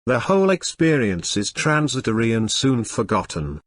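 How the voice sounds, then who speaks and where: A man giving a measured, formal read-aloud of scripted text as an exam practice model. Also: mid-range voice, very animated.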